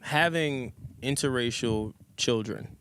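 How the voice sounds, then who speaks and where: A man's voice: mid-range voice, slow pace, fairly bright tone, a little hiss or hum. A man in his thirties hosting a podcast, steering a casual group chat with questions on a handheld mic in an office lounge. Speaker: podcast host.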